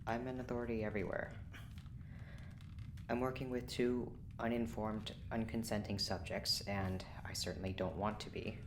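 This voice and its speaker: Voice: medium pitch, little pitch movement, moderate pace, lightly acted. An actor playing a principled, guarded research scientist in authority in an audio drama, speaking tersely and formally. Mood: guarded, firm. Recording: noisy, with ambient sound behind.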